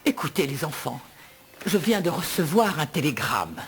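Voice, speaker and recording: Motherly, animated cartoon lady. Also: low-pitched, moderate pace. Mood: surprised, concerned. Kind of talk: French cartoon dubbing.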